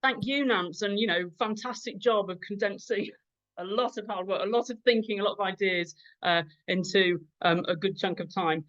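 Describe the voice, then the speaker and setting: A woman's voice: mid-range voice, normal speed, fairly bright tone, highs cut off. A woman moderating a webinar, speaking casually with many fillers.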